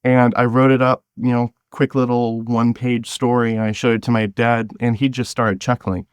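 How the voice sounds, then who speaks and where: A man's voice: medium pitch, flat delivery, normal speed, clear, resonant tone, no room sound. A man talking as an interview guest in a casual, informal way, telling his story with some hesitation and a chuckly manner. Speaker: guest, scriptwriter and audio drama actor.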